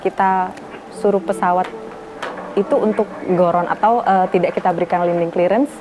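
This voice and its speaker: A woman's voice: on the low side, fairly even intonation, normal speed. A woman with an Indonesian accent answering as an air traffic controller, hesitating and mixing in English technical terms. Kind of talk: interview answer with English jargon. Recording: interview with clear background music.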